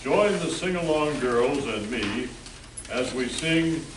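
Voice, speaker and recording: A man's voice: mid-range voice, animated, takes it slowly. A man hosting and narrating a scripted radio play. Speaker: narrator and host. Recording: echoey room, distant mic, hiss.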